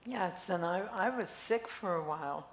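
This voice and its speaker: A woman's voice: lowish voice, lively intonation, moderate pace, close to an everyday voice. An older woman reading the part of a chatty, curious friend in an amateur play. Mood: reflective. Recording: echoey room with faint noise.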